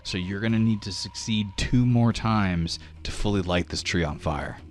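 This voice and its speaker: A man's voice: fairly low pitch, moderate pace, a little hiss or hum. A man narrating as game master of a tabletop game, explaining rules plainly and easygoingly with some fillers.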